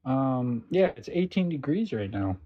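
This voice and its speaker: A man's voice: big pitch swings, unhurried, no room sound. Profane, teasing young man. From Alberta, Canada. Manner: teasing, profane, jumps into high exclamations. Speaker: streamer host. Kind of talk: casual livestream banter. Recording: dry, dull lo-fi stream audio.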